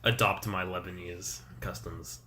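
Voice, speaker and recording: A man's voice: low voice, fairly even intonation, measured pace, sharp tone. An American man co-hosting a casual podcast chat, joking around loosely and swearing freely. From United States. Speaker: podcast co-host.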